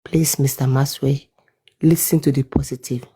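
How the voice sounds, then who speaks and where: A speaker in an online audio chat, urging and encouraging with earnest pleas. The voice is very dark and husky. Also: medium pitch, fairly even intonation, moderate pace. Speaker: chat participant. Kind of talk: casual group audio chat.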